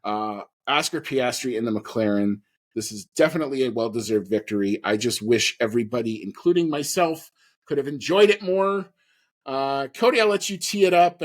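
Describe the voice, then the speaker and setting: A man hosting a podcast, giving a casual, opinionated and emphatic recap of a sporting event. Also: medium pitch. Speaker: podcast host.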